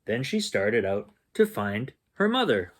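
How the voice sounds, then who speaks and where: A man reading a children's picture book aloud as an expressive bedtime storyteller. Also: lowish voice, measured pace. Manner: expressive, acts out sounds and dialogue. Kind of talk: animated bedtime story reading with playful asides.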